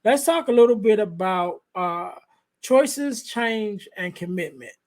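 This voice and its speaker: A man hosting a podcast interview, with a light voice, talking in a soft-spoken, halting way full of restarts. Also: high voice, lively intonation. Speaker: podcast host. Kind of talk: conversational interview. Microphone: podcast microphone.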